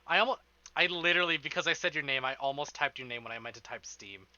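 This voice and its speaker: A man's voice: a little high, quick pace, sharp tone, no room sound, lo-fi sound. A man streaming live, chatting casually with his viewers in an informal, rambling way and swearing freely.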